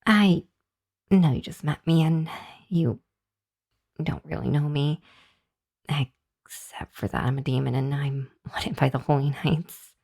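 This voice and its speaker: A woman plays a young female hellhound in an intimate ASMR roleplay. Her voice sits low for a woman and moves slowly. She is earnest but easily flustered, hesitating and halting as she talks to the listener. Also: fairly even intonation, gravelly, darkish tone, husky, exaggerated voice. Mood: flustered, pleading. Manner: hesitant, flustered, halting with sighs and nervous chuckles. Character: shy, earnest young female hellhound demon seeking shelter.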